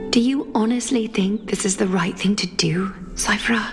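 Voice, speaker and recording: A woman playing a solemn, regal mentor in a video game, gentle but stern, with grave formal delivery. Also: mid-range voice, normal speed, close to an everyday voice. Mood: solemn, compassionate. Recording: voice over loud background music. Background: loud music.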